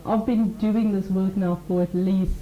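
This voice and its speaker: A woman's voice: mid-range voice, steady tone, unhurried, roomy sound, dull audio. A woman who teaches mindfulness and talks earnestly on a radio show, explaining ideas through everyday examples and acted-out quotes. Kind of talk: conversational radio talk.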